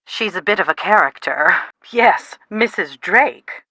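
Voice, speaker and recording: A woman playing an anxious, sharp-tongued middle-aged mother in a video game, with a dry, dramatic manner. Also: mid-range voice, expressive, unhurried, dry recording. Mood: wry, concerned. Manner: exasperated, dramatic, dry wit. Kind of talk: video game dialogue, phone conversation acting.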